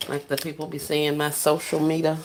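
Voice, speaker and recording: A soft-spoken woman, chatting casually with viewers on a livestream while she works on gift baskets. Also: low-pitched, completely flat delivery, brisk, heavy background noise. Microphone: phone set down while working.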